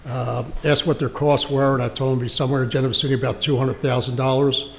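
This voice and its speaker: An American man presenting a project at a public meeting as a local official, speaking off the cuff in a halting way and repeating words. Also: medium pitch, little pitch movement, slight background noise. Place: indoor township meeting room. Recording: reverberant meeting hall.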